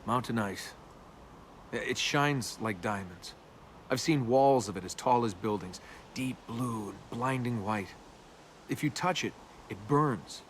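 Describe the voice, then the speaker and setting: A roguish young tomb robber from a video game, played by a male actor. He talks casually and wryly, guarded and cynical, in a medium-pitched voice. Game ambience runs noticeably behind him. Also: lively intonation, measured pace, a little hiss or hum, lightly acted. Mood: wistful. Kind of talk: naturalistic video game dialogue.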